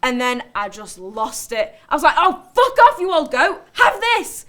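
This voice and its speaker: Actress doing high voiced woman, sweary n defensive, chatty dramatic monologue, blunt as anything. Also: normal speed, pushed and bright. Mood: angry, defiant. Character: volatile, defensive, sweary woman recounting her outburst. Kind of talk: dramatic monologue, conversational storytelling.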